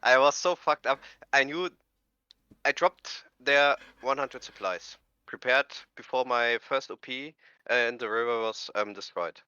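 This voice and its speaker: Man, mid pitch, bright tone, informal gamer storytelling, dull lo-fi voice chat. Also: measured pace, clear, resonant tone, dry recording. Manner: informal, non-native phrasing. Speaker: competitive gaming team player being interviewed. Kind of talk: casual storytelling in post-match interview.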